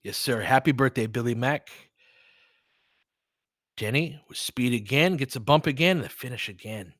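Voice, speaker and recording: A man's voice: medium pitch, moderate pace, a bit bright, no room sound. Man calling basketball, close and dry. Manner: candid, colloquial partisan fan reactions with swearing. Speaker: fan play-by-play commentator and host. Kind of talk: live basketball play-by-play commentary with casual analysis.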